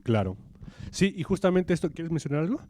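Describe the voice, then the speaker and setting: A man's voice: fairly high pitch, big pitch swings, a little fast, a little mellow, no echo. A Mexican man giving an academic conference talk in an informal, explanatory way, repeating phrases for emphasis. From Veracruz, Mexico. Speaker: academic lecturer.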